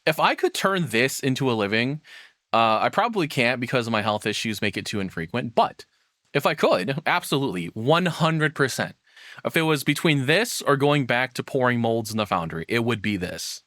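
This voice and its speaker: Bright-voiced man ranting casually, very close and dry. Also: mid-range voice, sing-song, moderate pace, no echo. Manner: rambling, opinionated, profane. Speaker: streamer reacting to a video. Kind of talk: casual livestream commentary.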